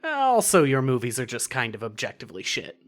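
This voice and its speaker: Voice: mid-range voice, huge pitch swings, fairly quick. A narrator reading scripted second-person fiction for an audio story.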